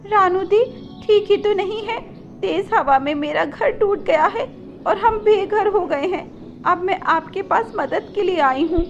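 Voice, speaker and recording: A woman voicing an earnest, gentle mother bird who pleads for help in a cartoon. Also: very high-pitched, measured pace, dull audio, faint music behind, pitched above the performer's own voice. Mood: urgent, pleading.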